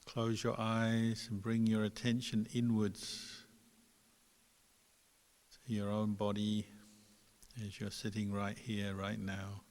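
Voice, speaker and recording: A man, a Buddhist meditation teacher, giving guided meditation instruction in a calm, unhurried manner with gentle pauses between phrases. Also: lowish voice. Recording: long hall reverb.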